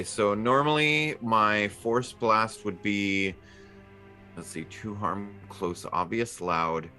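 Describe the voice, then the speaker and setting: A man's voice: on the low side, pushed and bright. A man chatting casually as a player in a tabletop role-playing game.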